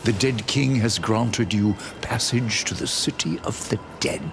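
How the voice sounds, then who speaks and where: An actor playing a sly, mocking scarecrow of a servant to a dead king, theatrical and gravelly, in a video game. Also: fairly low pitch, measured pace. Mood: solemn. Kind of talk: dramatic video game cutscene acting. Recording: loud ambient noise behind the voice.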